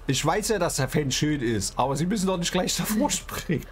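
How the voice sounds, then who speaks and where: A man's voice: on the high side, animated, fairly quick, slight background noise. A man streaming a farm game, bantering with friends in a teasing, mock-formal way through a headset. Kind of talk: playful in-character banter.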